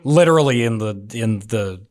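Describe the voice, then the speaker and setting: A man's voice: medium pitch, lively intonation, normal speed, darkish tone. A man casually discussing a script, circling around his point and peppering it with "like". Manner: hesitant, circling phrasing peppered with "like".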